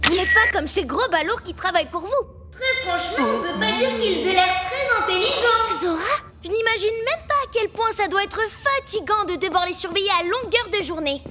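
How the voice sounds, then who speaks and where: A woman's voice: very high voice, animated, fairly quick. A woman voicing a plucky six-year-old cartoon princess, cheeky, determined and childlike. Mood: sly flattery. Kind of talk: animated cartoon acting in French dub. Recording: music behind the voice. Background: loud music.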